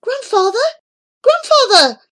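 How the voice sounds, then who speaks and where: A woman's voice: very high voice, very animated, measured pace, fairly bright tone, voice pushed up, exaggerated voice. A woman voicing a desperate, remorseful boy in a storybook, crying out in anguished pleas. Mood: pleading, remorseful. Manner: anguished, pleading cries. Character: desperate, remorseful boy cursed to fiddle and dance.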